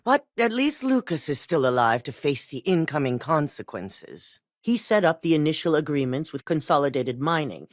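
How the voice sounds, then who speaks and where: A cold corporate chief executive. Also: female voice, low-pitched, very animated, moderate pace, hardly any pauses, no room sound, studio quality. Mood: cool. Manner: controlled, businesslike, commanding. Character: cold calculating female corporate CEO. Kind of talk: video game voice acting.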